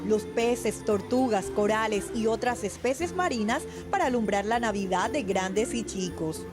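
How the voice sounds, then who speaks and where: A woman's voice: fairly high pitch, expressive, normal speed. A woman reporter reading a scripted news feature as a voice-over. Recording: voice-over with prominent music. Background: music.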